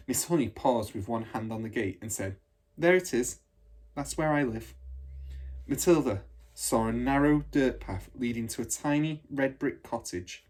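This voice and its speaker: A man reading a children's storybook aloud like a teacher, in an expressive, measured storytelling manner. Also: medium pitch. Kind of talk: storybook narration.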